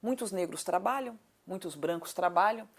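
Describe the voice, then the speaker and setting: A woman's voice: lowish voice, lively intonation, normal speed, breathy edge. A woman of thirty-eight with a southern Brazilian accent, answering conversationally as an interview guest, emphatic, repeating points and asking rhetorical questions. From Paraná, southern Brazil. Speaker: city council candidate, interview guest.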